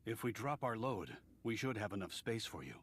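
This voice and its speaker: A man's voice: lowish voice, very animated, moderate pace, breathy edge. A man voicing an earnest, considerate supply convoy worker in an English-language video game. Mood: concerned.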